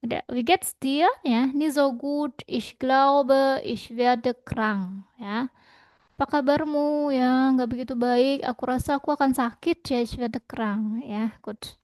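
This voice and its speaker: A woman teaching German in an online lesson. She is patient and explains in two languages, ending nearly every phrase with a quick "ya". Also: high voice, fairly even intonation, darkish tone, dry recording. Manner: switches between German and Indonesian to explain.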